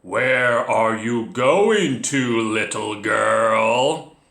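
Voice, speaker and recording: A man voicing a sly, hungry big bad wolf in a children's storybook play, with a dark, menacing, drawn-out delivery. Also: mid-range voice, animated, creaky, full character voice. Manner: slow, drawn-out, forceful. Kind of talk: children's storybook readers theater. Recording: echoey room.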